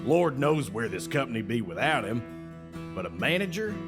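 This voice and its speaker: A folksy, aggrieved Southern salesman in an audio drama, needling and sly. His pitch swings widely over colourful turns of phrase, with faint music behind him. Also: big pitch swings, normal speed. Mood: indignant, scornful. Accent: American South. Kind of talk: scripted audio drama acting.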